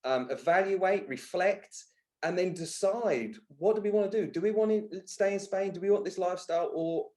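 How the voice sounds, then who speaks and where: A man's voice: high voice, moderate pace, mellow. A man in his thirties talking as a podcast guest in a conversational interview, earnest and emphatic, often repeating words for emphasis. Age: 30s. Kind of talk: conversational personal-development interview.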